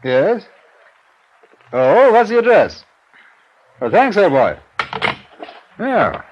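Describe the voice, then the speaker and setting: A man's voice: high voice, huge pitch swings, extremely slow, muffled recording, exaggerated voice. A man playing a polite, cooperative police inspector in a 1950s radio drama, courteous and proper. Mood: businesslike, courteous. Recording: noisy old radio recording.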